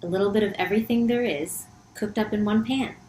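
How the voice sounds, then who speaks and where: Woman doing an eager bossy little girl, storybook, excited, emphatic, echoey room. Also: mid-range voice, brisk, lightly acted. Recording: echoey room, faint background hum.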